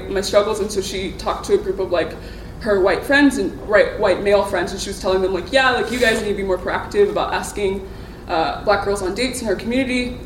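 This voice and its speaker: A woman's voice: mid-range voice, slight background noise. A young woman sharing personal experiences in a group discussion, speaking hesitantly with many fillers. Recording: echoey room, voice a bit distant from the mic.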